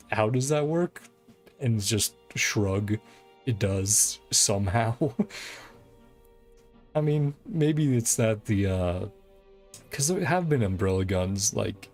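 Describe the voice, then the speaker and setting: A man's voice: lowish voice, vocal fry, a little mellow. A man giving casual game playthrough commentary, reading dialogue aloud and rambling hesitantly as he thinks aloud. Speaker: game streamer.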